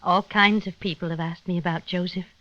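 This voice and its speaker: A woman's voice: on the low side, steady tone, a little fast, fairly bright tone, no room sound, natural voice. An actress playing the indignant wife of a long-missing man in an old radio drama. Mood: indignant, weary. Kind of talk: 1940s-50s radio drama acting. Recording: muffled vintage radio recording.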